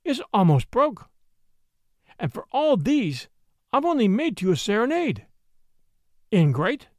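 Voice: medium pitch, very animated, measured pace, dry recording, studio quality, voice pushed up. A narrator voicing a pert, childlike young Mexican woman in a storybook, speaking broken English with a Spanish accent and a teasing lilt. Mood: saucy, reproachful. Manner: saucy, lilting, childlike.

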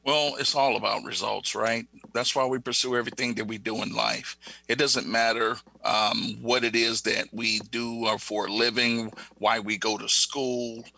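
A man giving motivational coaching talk as a guest, emphatic and fond of deliberate repetition. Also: mid-range voice, little pitch movement, gravelly, pushed and bright, no echo, rough recording.

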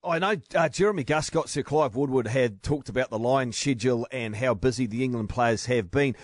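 A man, a radio co-host asking measured questions. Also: mid-range voice, dry recording, clean studio sound.

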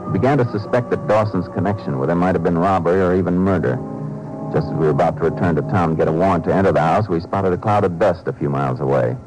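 Low-voiced, steady American lawman played by an actor. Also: music in the background, natural voice, poor audio. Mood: narrating, matter-of-fact. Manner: measured, laconic, courteous. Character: steady, polite, determined Texas Ranger lawman. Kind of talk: 1950s radio drama dialogue and narration. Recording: old 1950s radio broadcast.

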